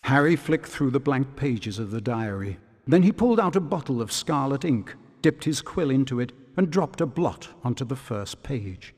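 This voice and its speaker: Casting: male game narrator, mid-pitched, deliberate dramatic storytelling, reverberant recording. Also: lively intonation, darkish tone.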